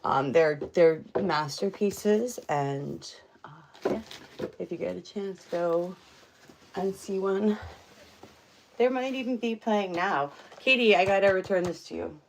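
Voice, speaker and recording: A woman's voice: mid-range voice, slow pace, gravelly, background noise. A woman casually chatting on a live stream from her home, rambling with many fillers. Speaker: livestream host. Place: home room.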